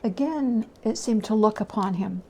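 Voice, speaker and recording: A woman giving a dramatic literary reading as storyteller, deliberate and expressive, at times hushed. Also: medium pitch.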